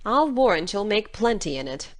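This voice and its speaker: A woman playing a stern, practical older guardian with a brisk, dry manner in an audiobook dramatization. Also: mid-range voice, big pitch swings, close to an everyday voice. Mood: dryly scolding.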